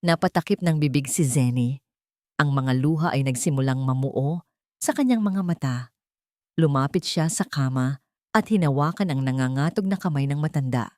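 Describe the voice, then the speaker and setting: A woman narrates a dramatic audio story in a low-pitched voice. Her phrasing is deliberate and suspenseful, and the recording is close and dry. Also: a little mellow, no room sound.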